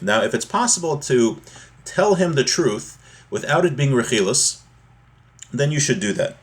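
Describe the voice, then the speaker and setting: A man teaching an informal religious lesson, reading from a text and explaining it in a halting, repetitive, conversational way. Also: mid-range voice.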